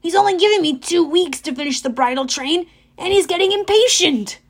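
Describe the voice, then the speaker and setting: A woman's voice: very high voice, animated, fairly quick, pitched above the performer's own voice. A woman voicing a nervous, frightened young male satyr in an audiobook, pleading and anxious. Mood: fretful. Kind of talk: audiobook character voice.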